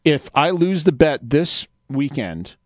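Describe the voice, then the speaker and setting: A man with a fairly high voice co-hosts a radio show. His banter is casual, playful and self-mocking, recorded in a dry studio. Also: sing-song, takes it slowly, no room sound. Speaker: co-host of a poetry radio show.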